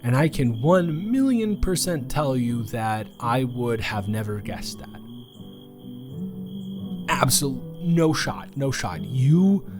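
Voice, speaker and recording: A man's voice: mid-range voice, very animated, slow pace, over music. A young man playing a nervous, earnest, sweetly awkward college student in a romantic audio roleplay, stammering and rambling with emphatic repetition. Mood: flustered, insistent.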